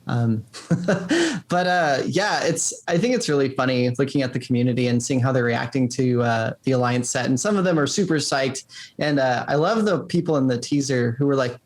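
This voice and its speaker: A man's voice: medium pitch, normal speed. A man speaking as a game developer in a casual interview, enthusiastic and chuckling as he talks.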